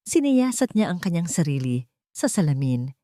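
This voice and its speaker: A woman's voice: on the low side, big pitch swings, normal speed, dark tone, dry recording, studio quality. A woman narrating a scripted story in a dramatic storytelling style.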